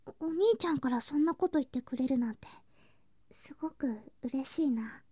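A woman playing an affectionate, clingy younger girl cousin in an intimate bedtime ASMR drama, speaking softly and breathily, often in whispers, drowsy and coaxing. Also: high voice, expressive, moderate pace, no room sound, studio quality. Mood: playfully flustered. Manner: soft, drowsy, coaxing, sweetly childlike.

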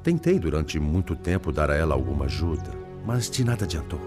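A low male voice with expressive swings plays an anguished spirit in a Brazilian radio drama. He speaks formally and eloquently with a Brazilian accent, weighed down by sorrow. Music plays clearly behind him. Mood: bitter, mournful. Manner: formal, eloquent, sorrowful, halting when troubled. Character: Pedro, anguished male spirit, once a principled lawyer.

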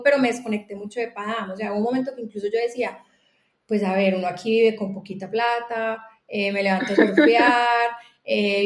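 A woman with a Colombian accent talks openly and fast, often restarting her sentences. Her voice sits a little high and carries a clear echo from the room around her. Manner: fast, earnest, many restarts. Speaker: guest, company founder. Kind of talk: casual conversation.

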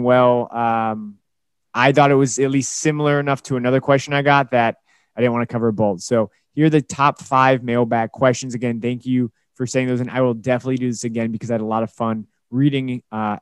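A young man hosting his own podcast, talking casually alone, a little hoarse and tired, rambling with fillers and restarts. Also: medium pitch, no echo. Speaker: podcast host. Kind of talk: casual solo podcast talk.

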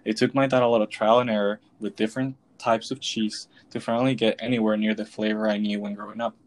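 A young man from Venezuela co-hosts a podcast and reads from a prepared script. His voice sits somewhat low, and his delivery stays steady throughout. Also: fast talker. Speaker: podcast co-host.

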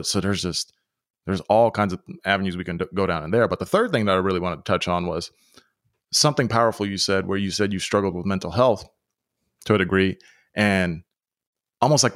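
A man in his thirties hosts the conversation in a very deep voice. He is relaxed and chatty, leaning on filler words. The sound is dry and close. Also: dry recording. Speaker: podcast host. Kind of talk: casual interview.

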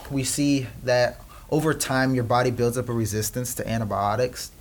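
A young man from Peru talks as a guest in a relaxed interview. He tells family stories in quick bursts, full of "uh" and restarts. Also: medium pitch. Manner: chatty storyteller, quick bursts with frequent fillers. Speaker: guest, company co-founder. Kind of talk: casual interview chat.

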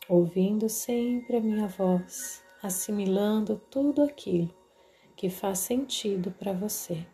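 A woman with a Brazilian accent narrating a guided meditation in a soothing, deliberate way, leaving long pauses. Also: mid-range voice, dark tone. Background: background music.

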